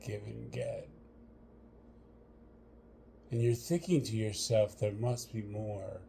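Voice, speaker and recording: A man leading a guided meditation, speaking to the listener in measured short phrases with long pauses between them. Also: on the low side, warm tone, a little hiss or hum.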